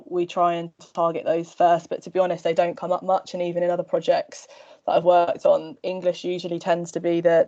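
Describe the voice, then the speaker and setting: A woman presenting her team's next steps and taking questions on a video call, speaking casually with many ums. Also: fairly low pitch. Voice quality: relaxed, filler-heavy delivery with many ums. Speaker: project team member hosting a video-call show and tell. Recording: dull lo-fi video call audio.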